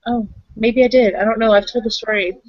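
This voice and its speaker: A woman improvising roleplay conversation, thinking aloud haltingly and often repeating her phrases. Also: on the high side, fairly even intonation, darkish tone, no echo, muffled recording. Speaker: tabletop roleplaying player and game runner.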